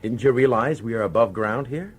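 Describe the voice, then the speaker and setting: A man's voice: mid-range voice, brisk, husky, lightly acted. An actor playing a middle-aged male police commissioner in a radio drama, nervous and insistent, pleading with urgency. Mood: uneasy. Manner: urgent, hesitant, pleading authority.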